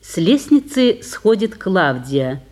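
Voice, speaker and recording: A woman narrates a play in a measured, scripted manner. Her voice is mellow and medium in pitch, with lively intonation. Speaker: narrator of stage directions.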